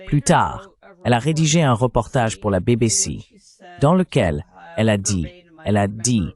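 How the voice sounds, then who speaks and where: A man's voice: fairly low pitch, very animated, moderate pace, a little mellow. A man speaking as a dubbed interview guest, recounting events at length with indignation.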